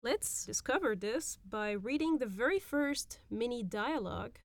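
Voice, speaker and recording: A woman hosting a podcast and teaching phrases in a patient, explanatory way, repeating words slowly. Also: on the high side, animated, no echo. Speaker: podcast host and Arabic teacher. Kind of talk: language lesson explaining phrases.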